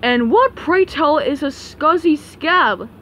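A woman's voice: high-pitched, lively intonation, slow pace, fairly bright tone, voice pushed down, full character voice. A woman voicing a pompous, commanding male sea king who makes proclamations, in an amateur cartoon dub. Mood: haughty.

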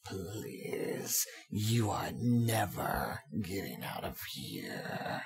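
Creaky, whispering, crawling-slow villain. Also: male voice, deep voice, very animated, full character voice. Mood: amused menace. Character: menacing male villain Scarecrow, slow, sinister, gloating. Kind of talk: audio drama villain acting.

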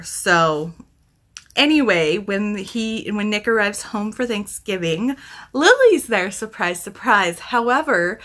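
A woman in her thirties talks in a bright voice of middling pitch. She reviews books casually for a vlog, thinking aloud and correcting herself as she rambles along. It is recorded at home in a small room. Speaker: book vlogger. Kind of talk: casual chatty book review to camera.

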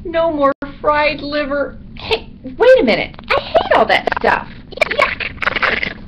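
Woman voicing a chatty, high-pitched little male bat. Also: very animated, normal speed. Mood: mournful. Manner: theatrical, rambling, comically aggrieved. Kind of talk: animal storybook character voice. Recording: dull recording with background noise.

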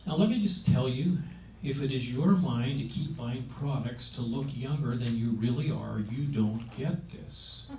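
A man's voice: medium pitch, a little mellow. Emphatic seventy-year-old man preaching. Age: 70s. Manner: emphatic, conversational preaching with rhetorical questions. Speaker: speaker on aging. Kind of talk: sermon-style talk. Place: meeting room. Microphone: handheld mic. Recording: roomy sound from a distance with faint noise.

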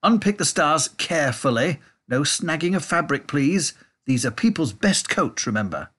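A man voicing a shrill, flustered female schoolteacher in a storybook reading. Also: very animated, normal speed, a bit bright, breathy edge, full character voice. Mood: shrill, bossy. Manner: spluttering, shrill.

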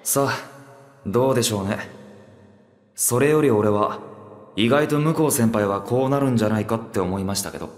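A man's voice: lowish voice, moderate pace, pauses often, close to an everyday voice. A man playing a cool, reserved young male idol, speaking with composed, understated politeness in an anime voice drama. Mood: coolly deflecting. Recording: echoing concert hall, faint music.

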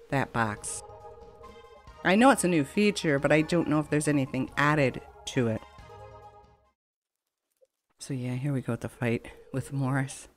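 Woman doing chatty lets play commentary, kinda low voice, some game music behind her. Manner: chatty, rambling, conversational. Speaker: gaming channel host. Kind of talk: casual let's play commentary. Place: voice-over on game footage.

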